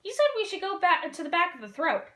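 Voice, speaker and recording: A woman's voice: very high-pitched, sing-song, quick pace, fairly bright tone, pitched above the performer's own voice, full character voice. A woman voicing a cheerful, forgetful female fish in a storybook reading, with a bubbly, sing-song manner. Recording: roomy echo.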